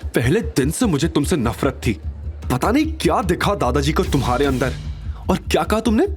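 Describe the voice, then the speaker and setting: Male narrator as scornful young wife, raised pitch, sneering, animated, audio drama, music behind. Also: normal speed. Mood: contemptuous. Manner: bitter, sneering. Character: scornful young wife spitting contempt at her husband.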